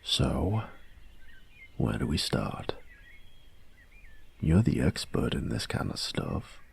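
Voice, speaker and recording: A man playing a cocky young bully who is secretly soft on his boyfriend in an intimate audio roleplay, gravelly and husky, grudging and teasing in a cool-guy way. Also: very deep voice, big pitch swings, slow pace, slight background noise, heavily acted. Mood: grudging, softening.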